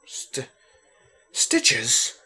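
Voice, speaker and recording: A man's voice: drawn-out words, bright, forceful tone, heavily acted. A man voicing a wary, easily frustrated male patient who stammers when shaken, in a dramatic story reading. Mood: anxious, stunned.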